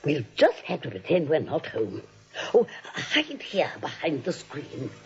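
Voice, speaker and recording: A woman's voice: low-pitched, huge pitch swings, measured pace, full character voice. A fluttery old spinster aunt in an old radio comedy. Her dainty, fussy speech swoops widely up and down, on a dull vintage recording. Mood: anxious. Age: older. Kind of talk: 1940s radio comedy acting.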